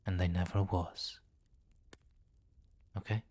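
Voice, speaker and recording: A man's voice: low-pitched, flat delivery, darkish tone. A man playing a commanding, possessive vampire king in an intimate, teasing ASMR roleplay. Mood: coaxing, commanding. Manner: slow, teasing, condescending, with murmured endearments.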